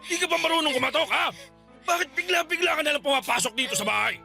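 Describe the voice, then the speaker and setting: A man's voice: very high-pitched, fast, vocal fry, bright, forceful tone, soft background music, heavily acted. A man playing an abusive, hot-tempered middle-aged Filipino uncle in a radio drama, scolding and barking threats. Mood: angry scolding.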